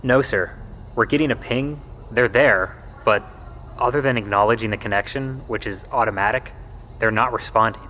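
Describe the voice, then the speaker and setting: An actor playing a deferential military technician in an audio drama, speaking in a measured, formal and methodical way. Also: male voice, on the low side, sharp tone. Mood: dutiful, puzzled. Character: deferential male military technician soldier, grimly analytical. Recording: clear background noise.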